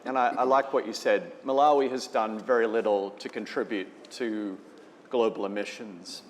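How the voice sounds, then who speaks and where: A man's voice: lowish voice, fairly even intonation, normal speed, a little hiss or hum. A man moderating an onstage interview, asking questions in an effusive, upbeat way. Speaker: moderator, host. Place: conference stage, live event. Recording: echoey hall with faint ambience.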